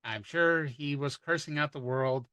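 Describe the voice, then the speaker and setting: A man's voice: fairly high pitch, unhurried, fairly bright tone, clear, resonant tone, dry recording. A man hosting a podcast, giving a casual recap with wry, profane, rambling asides. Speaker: podcast host.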